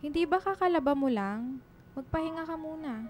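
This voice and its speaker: A woman's voice: high voice, animated, normal speed, a little mellow, soft background music. A woman playing a kind, protective lady employer in a radio drama, chatty and concerned. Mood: caring. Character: kind, protective middle-class employer, a single mother. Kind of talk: Filipino radio drama acting.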